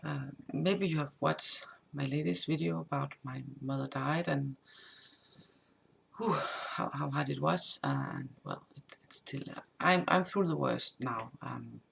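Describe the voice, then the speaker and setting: A woman whose first language is Danish, a tarot reader who gives a casual spoken update, halting and improvising with many restarts. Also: low voice, vocal fry, a bit bright, a little hiss or hum.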